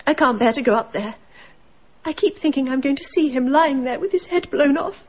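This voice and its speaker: Actress playing shaken grieving village woman, high pitch, fragile, halting, radio drama, slightly muffled recording. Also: darkish tone, close to an everyday voice. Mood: distraught.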